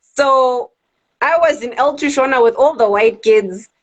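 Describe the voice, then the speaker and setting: A woman's voice: high-pitched, unhurried, muffled recording. Chatty Zimbabwean woman, laughs often. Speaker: guest, club DJ. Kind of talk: casual interview chat.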